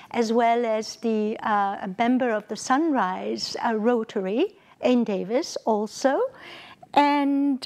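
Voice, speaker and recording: A woman hosting a community TV interview in a small studio, speaking haltingly with many restarts. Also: fairly high pitch, a little mellow. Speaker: host.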